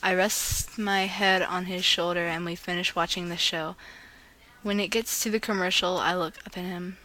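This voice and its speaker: A woman's voice: lowish voice, fairly even intonation, normal speed, pushed and bright, low-quality recording. A woman with an informal, giggly manner, chatting like a vlogger and reading a story aloud, now and then stumbling over words. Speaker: host of a fan fiction reading video. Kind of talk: casual vlog chat and amateur story read-aloud.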